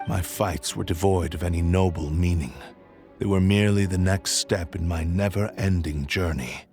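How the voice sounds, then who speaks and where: Cursed sword spirit, low-pitched, solemn, proud, formal archaic wording, game dialogue, music behind. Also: male voice. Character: grave, proud male spirit of a cursed samurai blade. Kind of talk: dramatic video game voice acting.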